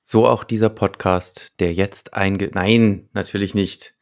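A man's voice: on the low side, steady tone, a little slow, vocal fry, a little mellow. A man co-hosting a podcast in a conversational, partly prepared style, speaking close to a desktop microphone at home. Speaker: podcast co-host. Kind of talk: conversational podcast talk with prepared plot summaries. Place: small home room with shelves.

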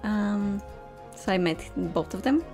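Young woman streaming a game, unhurried, musing out loud, super lively pitch. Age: young adult. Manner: musing aloud, delighted. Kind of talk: casual playthrough commentary. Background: game music.

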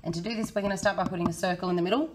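Croaky woman in her 30s explaining sums to kids, friendly and upbeat, kinda low voice. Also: rapid-fire, noisy background. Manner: friendly, encouraging, talks to kids. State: hoarse, croaky voice. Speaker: teacher. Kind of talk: children's maths lesson. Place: small indoor room.

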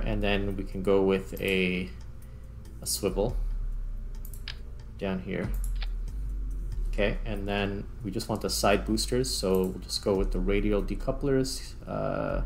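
A man's voice: on the low side, creaky, slight background noise. A man giving casual, informal let's-play commentary, thinking aloud as he hosts a gaming video. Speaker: host of a gaming series. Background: background music.

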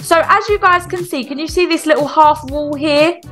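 A woman playing a brisk, encouraging but strict children's swimming coach in an online game roleplay, giving instructions and repeated reassurance. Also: sits high, a bit bright. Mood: instructive. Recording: music behind the voice.

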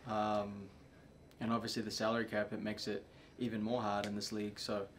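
A young man, a soccer player, answering questions at a post-match press conference in a subdued, halting way with many fillers. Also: lowish voice, completely flat delivery, a little hiss or hum. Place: press room before a sponsor backdrop.

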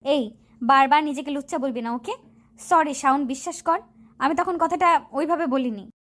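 A woman's voice: high voice, normal speed, a bit bright. A woman playing a feisty, bossy college girl in love, scolding and pleading in a romantic audio story.

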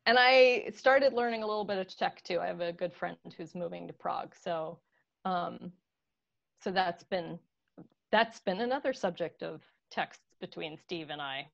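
A woman's voice: on the low side, moderate pace, creaky, fairly bright tone, dull audio. A middle-aged woman chatting as a guest on a video call from home over earbuds, playful and teasing, laughing easily. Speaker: guest lexicographer. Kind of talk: casual video-call chat. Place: home room. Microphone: earbud headset on video call.

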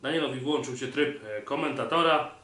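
A man's voice: medium pitch, brisk, fairly bright tone. A man co-hosting a sports podcast, chatting casually and without a script. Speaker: podcast co-host. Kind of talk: casual unscripted sports chat. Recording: roomy echo, clear background noise.

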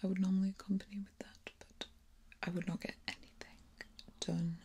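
A young woman in her twenties whispering intimately and softly singing lullabies as an ASMR creator, close on a binaural mic in a small dark room. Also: mid-range voice, level tone, brisk, slight background noise. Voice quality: breathy.

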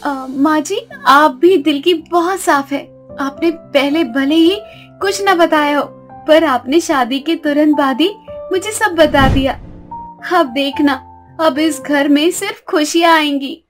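Lady doing a tiny young bride in a cartoon story, polite, sweet, high voice. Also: a little slow, soft background music. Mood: hopeful. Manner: polite, deferential.

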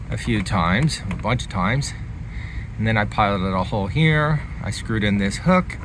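Man casually explaining, outdoor noise behind him. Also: mid-range voice. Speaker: hobbyist demonstrating an antenna installation. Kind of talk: casual unscripted walkthrough. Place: outdoors beside a car in a residential driveway. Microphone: handheld phone filming the car.

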